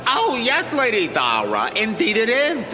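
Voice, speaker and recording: A man's voice: sits high, wildly swooping pitch, measured pace, in a large hall, exaggerated voice. Sci-fi audio drama king: pompous, vengeful, grandiose, with swooping delivery over music. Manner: grandiose, theatrical speechmaking.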